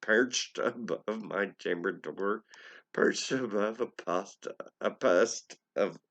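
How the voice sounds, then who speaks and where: A man reading gothic poetry aloud as an amateur, in a theatrical, halting way with occasional stumbles. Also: on the low side, fairly bright tone. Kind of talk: dramatic poetry recitation.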